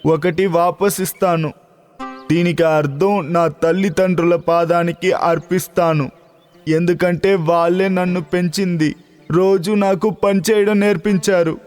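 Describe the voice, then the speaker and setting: An actor playing a humble, clever farmer who explains respectfully and patiently in a children's cartoon story. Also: male voice, sits high, steady tone, measured pace, a little mellow, long reverb tail. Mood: humble, explaining. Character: humble, clever male farmer in a fairy tale. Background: background music.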